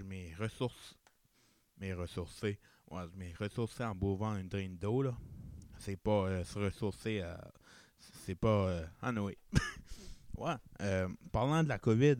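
A man's voice: fairly low pitch, unhurried, darkish tone, dry recording. A young man with an Acadian Chiac accent hosting a casual solo podcast, rambling and correcting himself, slipping English words into his French. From New Brunswick, Canada. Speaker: podcast host.

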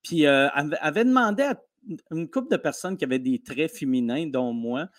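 A man with a Québécois French accent tells stories in a casual podcast chat. His voice sits a bit high, and he talks in animated bursts full of restarts and asides. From Quebec. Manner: animated storytelling, fast with restarts. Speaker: comedian guest.

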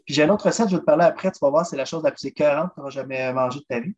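A man's voice: fairly high pitch, very fast. A man with a Québécois accent, chatting as a podcast guest and eagerly walking through recipes. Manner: chatty, lively, keeps saying "listen" as he explains recipes. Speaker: guest, drummer and food traveler. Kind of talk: casual interview chat. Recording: dull lo-fi recording.

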